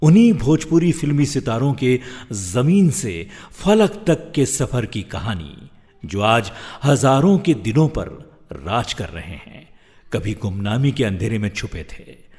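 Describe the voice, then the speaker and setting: A middle-aged man reading scripted voice-over in a measured, dramatic way. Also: mid-range voice, very animated, a little mellow, slightly rough, big-room sound. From India. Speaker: professional voice-over artist. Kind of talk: scripted voice-over for commercials and narration.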